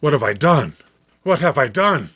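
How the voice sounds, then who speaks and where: A man's voice: a little high, sing-song, moderate pace, noisy background. A man playing an obsessive, lovestruck musician in a horror comic, melodramatic and brooding. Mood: anguished. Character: Eric, obsessive lovestruck male musician, anguished.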